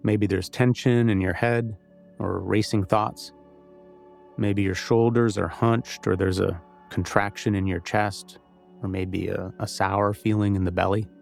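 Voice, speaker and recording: A man leading a guided meditation, giving gentle, conversational instructions with pauses. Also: lowish voice, creaky.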